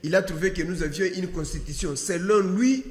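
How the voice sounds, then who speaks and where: A man's voice: high voice, normal speed. A man with a Congolese accent answering as a political panel guest, didactic and emphatic, posing rhetorical questions. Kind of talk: political debate interview. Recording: echoey hall-like room with long reverb.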